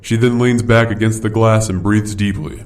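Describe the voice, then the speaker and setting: A man's voice: lowish voice, flat delivery, moderate pace. A man narrating the scene in a fan audio drama. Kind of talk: scripted narration. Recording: noisy background.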